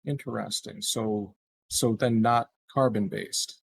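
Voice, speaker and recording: Male tabletop player, lowish voice, casual improvised roleplay, tentative thinking-aloud delivery. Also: steady tone, slow, gravelly, breathy edge, no room sound.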